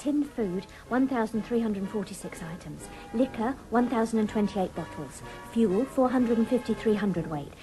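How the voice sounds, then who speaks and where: A woman's voice: fairly high pitch, animated, normal speed, few pauses, warm tone, faint music behind, close to an everyday voice, low-quality recording. A woman playing a businesslike clerk figure in a radio drama, itemising things precisely. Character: businesslike woman reading out an inventory.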